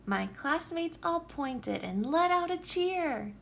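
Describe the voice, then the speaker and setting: A woman reading a picture book aloud for young children with expressive, deliberate phrasing. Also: extremely high pitch, gravelly. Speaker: children's story reader, teacher.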